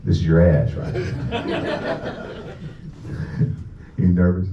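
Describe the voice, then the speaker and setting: A man's voice: sits low, huge pitch swings, very slow. A man performing a live stage hypnosis comedy show, bantering crudely and profanely with the crowd. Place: indoor show venue. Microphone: audience camera far from the stage. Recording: distant, muffled, echoey venue with background noise.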